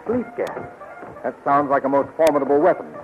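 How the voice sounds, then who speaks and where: A man's voice: mid-range voice, animated, brisk, dull audio, over music, lightly acted. A man playing a gallant, earnest heroic commander in a 1930s radio serial, declaiming formally on a thin, scratchy recording. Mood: awed, eager.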